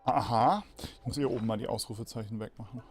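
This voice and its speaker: A man's voice: medium pitch, fast, faint music behind. A native German-speaking man doing casual, offhand gameplay commentary as a streamer. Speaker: game streamer.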